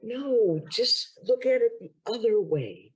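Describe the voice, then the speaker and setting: Woman playing a slow, husky big sister. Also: fairly high pitch, animated, exaggerated voice, rough recording. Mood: coaxing. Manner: reassuring, playful, teasing. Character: older sister giving odd dating advice. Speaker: improviser.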